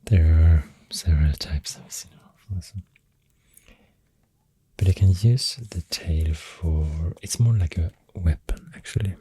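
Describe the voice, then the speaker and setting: ASMR host, Swedish accent, very breathy and hushed, slow unhurried rambling, close dry mic. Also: low voice. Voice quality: soft, breathy, whispery. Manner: hushed, hesitant, gentle rambling delivery drifting into whispers. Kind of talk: soft-spoken ASMR, rambling facts while drawing. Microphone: close ASMR microphone. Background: pencil writing and clicking sounds.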